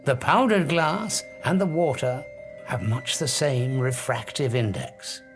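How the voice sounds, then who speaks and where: An actor playing an obsessive, grandiose scientist in an audiobook drama, arrogant and emphatic, lecturing with dramatic pauses. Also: male voice, medium pitch, over music, close to an everyday voice. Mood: insistent.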